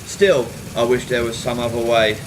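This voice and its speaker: A man's voice: medium pitch, fairly even intonation, moderate pace, noisy background, natural voice. An actor playing a scared, irritated boy trapped in a haunted house in a Halloween radio play. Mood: uneasy, annoyed.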